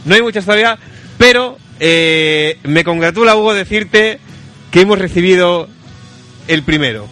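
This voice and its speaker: A man's voice: high voice, moderate pace, bright, forceful tone. A man with an accent from Spain hosting a casual radio chat show in a playful, teasing, exclamatory way. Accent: Spanish from Spain. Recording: music behind the voice.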